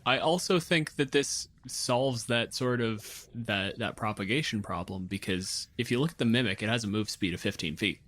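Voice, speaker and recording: A man's voice: on the low side, normal speed, few pauses, a bit bright. A man hosting a podcast, talking casually through ideas in a loose discussion. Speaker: podcast host.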